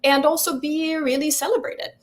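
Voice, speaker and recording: A woman's voice: high-pitched, steady tone, moderate pace, noisy background. A middle-aged woman from Sweden, a Swedish native speaker and film festival founder, answers interview questions in a thoughtful way, with many fillers and restarts. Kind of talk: interview guest.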